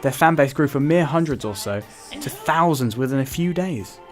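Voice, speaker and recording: A man's voice: mid-range voice, animated, normal speed, faint music behind. A man reading scripted narration for a story, as its narrator.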